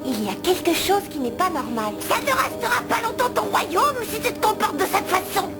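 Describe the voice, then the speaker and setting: Small excitable cartoon companion, piercingly high pitch, exclamatory, animated fantasy, heavy music underneath. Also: female voice, normal speed, full character voice. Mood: indignant. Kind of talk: dubbed animated fantasy film acting. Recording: very loud music under the dialogue.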